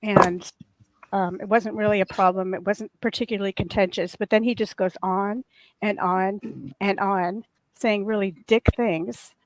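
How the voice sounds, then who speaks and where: A woman on a casual panel chat with a soft voice that turns crackly and raw, telling a long, earnest, indignant story. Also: mid-range voice, steady tone, a little slow, dry recording.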